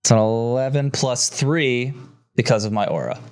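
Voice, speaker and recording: A man's voice: medium pitch, animated, moderate pace, smooth. A man playing a tabletop roleplaying game, chatting casually in short, offhand replies with a cinematic score behind. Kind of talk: casual chat during game play.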